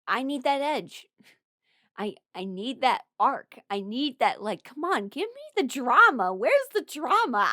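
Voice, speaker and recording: A young adult woman rambling casually through her opinions as a video creator, expressive and emphatic, blunt but apologetic. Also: high voice, no echo, clean studio sound. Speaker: video creator ranking characters.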